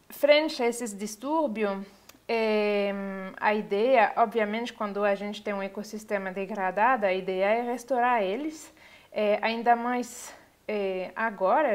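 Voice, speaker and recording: Woman, 30s, teaching on a webcam call, lots of ums and pauses, medium pitch. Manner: hesitant, many filler pauses. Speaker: university lecturer. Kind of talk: online lecture over slides. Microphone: webcam on a video call.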